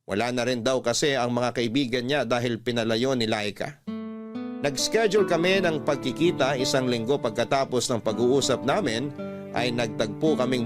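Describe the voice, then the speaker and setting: A man with a Filipino accent, a radio host reading a first-person love story as dramatic narration. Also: medium pitch. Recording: music bed under the voice.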